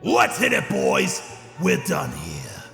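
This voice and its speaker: Loud music and a big reverberant hall surround a greedy goblin trade prince. His voice is heavily gravelled and slow-rolling. He blusters in slangy wiseguy talk with a short temper, as a fantasy audio drama villain. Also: fairly high pitch, huge pitch swings, full character voice. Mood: brisk. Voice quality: gravelly, creaky. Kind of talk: fan audio drama character acting.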